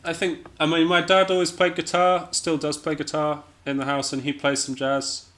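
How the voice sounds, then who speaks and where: A man's voice: a little high, completely flat delivery, moderate pace, fairly bright tone. Understated man chatting in an interview. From Scotland. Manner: hesitant, self-deprecating, understated. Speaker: interview guest, jazz pianist and musician. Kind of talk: casual interview chat.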